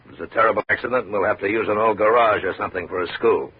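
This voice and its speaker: An actor playing a big, blustery, dismissive building contractor in a 1950s radio drama. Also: male voice, lowish voice, racing. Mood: dismissive bluster. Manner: blustery, dismissive, smooth-talking evasive. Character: big, brusque, bullying male building contractor. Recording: narrow muffled vintage radio recording.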